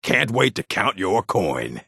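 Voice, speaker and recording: An actor voicing a gruff, surly orc bandit for a video game, with a gravelly, husky voice and rough colloquial speech. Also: male voice, lowish voice, very animated, slow, sharp tone, dry recording, studio quality, full character voice. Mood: taunting menace. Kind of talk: video game character voice acting.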